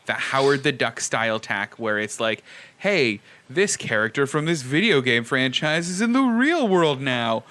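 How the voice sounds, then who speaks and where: Male co-host, bright mid-pitched voice, animated and wry, casual film chat. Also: moderate pace. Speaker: podcast co-host.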